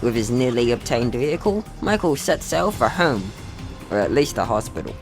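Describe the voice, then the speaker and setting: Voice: medium pitch, very animated, normal speed, soft background music. A young person narrating a scripted story in a mock-serious way. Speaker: video creator narrating own short film.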